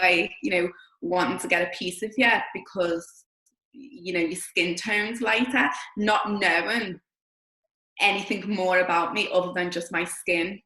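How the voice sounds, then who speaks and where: Lowish, bright woman in an echoey room. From Liverpool. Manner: measured, with filler pauses. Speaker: podcast panelist. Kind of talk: casual storytelling.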